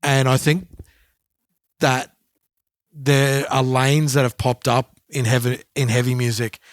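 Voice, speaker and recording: Halting man hosting a podcast. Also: medium pitch, little pitch movement, no room sound. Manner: thoughtful, halting, repeats words. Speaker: podcast co-host. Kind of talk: casual podcast chat.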